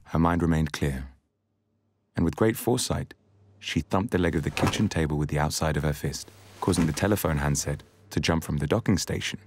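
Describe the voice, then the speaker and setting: A man narrating a poetry film in a measured, deadpan, storytelling way. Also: rumbling low, husky, full character voice. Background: prominent music.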